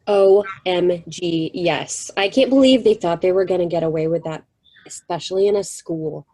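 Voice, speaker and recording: A woman's voice: lowish voice, moderate pace, dark tone, lightly acted. A woman voicing a catty teenage girl who mocks a classmate. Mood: gossipy. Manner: catty, mocking.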